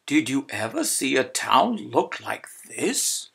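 A man reading a children's story aloud in an expressive, emphatic way. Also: medium pitch, takes it slowly, vocal fry, husky. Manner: expressive, emphatic, repeating phrases for effect. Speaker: storybook reader. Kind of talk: animated children's read-aloud narration.

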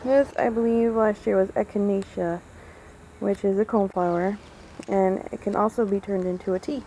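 A woman's voice: mid-range voice, steady tone, normal speed, rough recording. A woman chatting casually as she walks a garden with her camera, hedging on the names of the plants. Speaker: guide filming a garden tour. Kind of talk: casual walking garden tour. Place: outdoors in a library garden. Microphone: handheld camera mic. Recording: loud outdoor noise on a camera mic.

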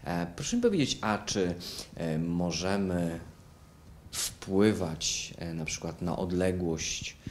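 A middle-aged man from Poland hosting an interview, asking questions in halting, conversational phrases. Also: low-pitched, animated, a little mellow, slight background noise. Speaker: interviewer, host. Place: furnished living room, indoors.

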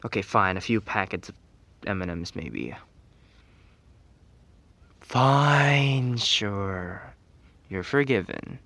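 A man's voice: fairly low pitch, big pitch swings, slow, long pauses, sharp tone, no echo, heavily acted. A young man playing a grumpy, teasing tsundere teenage best friend in an ASMR roleplay, scolding in an exasperated, whiny way. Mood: reluctantly forgiving.

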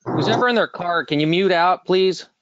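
A man serving as a board trustee who asks quick, pressing questions at an online meeting. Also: sits high, fairly bright tone, no echo. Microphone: video call. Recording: dull lo-fi video call audio.

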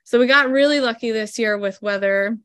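A woman's voice: fairly high pitch, brisk, fairly bright tone, no echo, clean studio sound. A woman in her thirties, a flower farmer guest explaining her work step by step with lots of fillers, over a video call from home. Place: home room indoors. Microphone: video call webcam.

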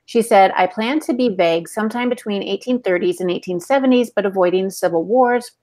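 A woman in her forties with a medium-pitched voice, co-hosting a podcast over a video call from home. She is chatty and informal as she relays questions from listeners. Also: brisk, a little mellow. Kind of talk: casual chat and reading out questions. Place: small home room, indoors. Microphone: video call on earphones.

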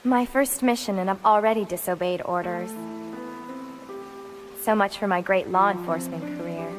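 A woman's voice: lowish voice, fairly even intonation, normal speed, music in the background, close to an everyday voice. A woman playing an earnest, dutiful young police rookie in a video game, sincere and urgent. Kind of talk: video game cutscene acting.

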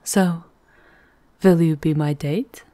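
A woman performs a seductive vampire countess in an ASMR roleplay. She speaks slowly and intimately, with a soft, mellow, low-pitched voice and aristocratic poise, possessive of her lover. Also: fairly even intonation. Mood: amorous.

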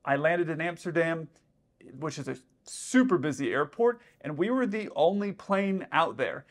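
Pilot in his thirties, fairly high voice, casual explainer to camera, indoor room. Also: moderate pace. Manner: explanatory, with frequent "let's say" asides. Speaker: airline pilot commenting on radio audio.

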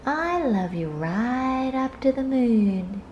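A woman's voice: fairly high pitch, expressive, slow pace, a little mellow, lo-fi sound, slight background noise, voice pushed up. A woman voicing an affectionate, eager little young male hare in a children's storybook read aloud. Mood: sleepy, loving.

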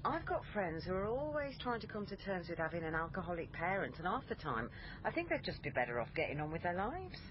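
An actress playing an observant, sober police family liaison officer in a radio crime drama. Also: female voice, lowish voice, very animated, quick pace, highs cut off, noisy background. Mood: thoughtful. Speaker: lead actress and first-person narrator. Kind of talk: radio crime drama, intimate narration and dialogue.

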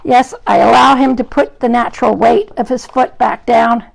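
A hoarse woman groomer with a gravelly voice, chatting to live-stream viewers while trimming a dog in a grooming salon, recorded on a phone. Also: fairly high pitch, fairly even intonation, moderate pace, rough recording. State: hoarse from an illness. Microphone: phone camera propped at the grooming table.

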